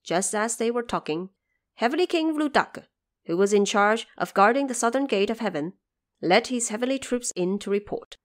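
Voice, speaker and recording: A woman's voice: a little high, animated, normal speed. A woman narrating an audio drama story from a script.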